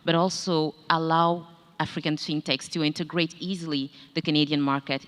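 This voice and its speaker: A woman's voice: low-pitched, fairly bright tone, clear, resonant tone. A woman from Gabon with a Gabonese French accent, moderating a conference panel in a formal, careful manner. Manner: formal, reads introductions carefully. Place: large conference hall. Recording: echoey hall, long reverb.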